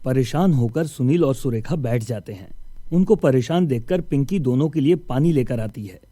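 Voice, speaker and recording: A man's voice: mid-range voice, lively intonation, normal speed, warm tone, no echo. A man narrating a scripted moral story as a storyteller.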